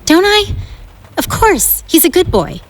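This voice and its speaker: A woman's voice: extremely high pitch, big pitch swings, measured pace, slight background noise, heavily acted. A woman playing a bossy, thrill-seeking teenage gang leader in a 1950s audio drama, dramatic and restless in manner. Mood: brooding, thrilled.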